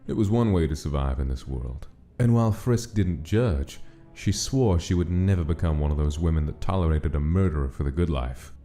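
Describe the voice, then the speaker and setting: Deep, dark-voiced male story narrator. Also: lively intonation, normal speed, hardly any pauses, soft background music. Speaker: narrator of a comic dub. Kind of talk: dramatic scripted story narration.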